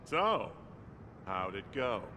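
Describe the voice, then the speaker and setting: An actor playing a bossy, self-important vigilante leader in a video game, briefing in a pompous, mock-military way. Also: male voice, fairly low pitch, big pitch swings, takes it slowly, over music. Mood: stern, exasperated. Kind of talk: video game cutscene acting.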